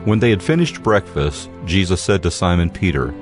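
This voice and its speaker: Low-voiced male Bible narrator. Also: lively intonation, moderate pace. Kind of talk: scripted scripture narration. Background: music.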